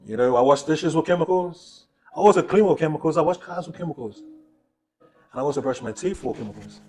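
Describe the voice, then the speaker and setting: A man from Samoa acting as co-host at home, asking his wife questions in a halting, playful way, often repeating himself. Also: on the high side, animated, warm tone, faint music behind. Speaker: co-host, interviewer. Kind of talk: casual chat.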